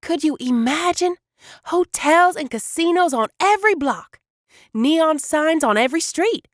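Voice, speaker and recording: A woman's voice: sits high, lively intonation, a little slow, no room sound, studio quality. A woman playing an eager, scheming small-town dreamer in a cartoon, chatty and enthusiastic. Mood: excited. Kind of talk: animated comedy dialogue.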